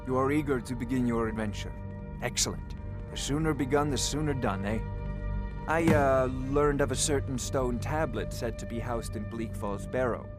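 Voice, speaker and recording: An actor playing a haughty, scholarly court wizard in a fantasy game, pompous, wry and condescending. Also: male voice, medium pitch, normal speed, natural voice. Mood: self-important, wry. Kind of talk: fantasy video game acting. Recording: music behind the voice.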